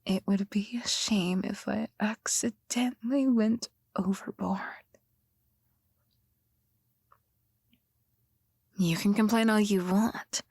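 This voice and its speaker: A woman performs a possessive yandere girlfriend for an ASMR roleplay. Her voice is breathy and often slips into whispers, very slow and intimate in a dry, close sound. She is coaxing and sweet, with soft menace underneath. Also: medium pitch, dry recording. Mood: cold menace. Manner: slow, coaxing, softly threatening.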